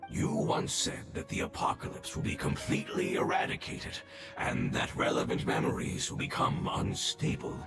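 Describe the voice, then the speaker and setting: An actor playing an ancient, dying guardian creature in a video game, weary and reverent, speaking haltingly in a breathy rasp. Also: male voice, close to an everyday voice. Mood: weary awe. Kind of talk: scripted video game voice acting. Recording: reverberant voice under loud music.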